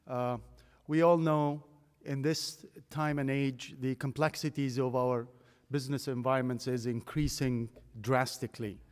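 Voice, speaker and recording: Relaxed middle-aged man presenting in a hall. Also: mid-range voice, measured pace, clean tone. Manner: relaxed and conversational, with many uh fillers. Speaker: guest speaker. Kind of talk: technical presentation. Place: large conference hall. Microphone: podium microphone. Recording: long hall reverb.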